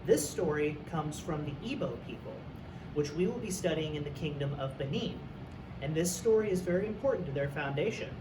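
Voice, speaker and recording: A man's voice: fairly high pitch, expressive, brisk. A man who works as a teacher, reading a story aloud for young students. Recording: echoey room, distant mic, faint noise.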